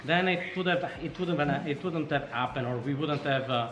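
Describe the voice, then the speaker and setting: A man's voice: a little high, normal speed, fairly bright tone. A man from Sardinia with an Italian accent, casually telling his story as an interview guest. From Sardinia, Italy. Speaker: startup co-founder, interview guest. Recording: echoey room, faint background noise.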